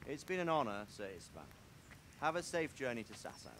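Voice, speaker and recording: An actor playing a stately middle-aged medieval lord who speaks in a measured, noble way. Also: male voice, medium pitch, big pitch swings, a bit bright, a little hiss or hum.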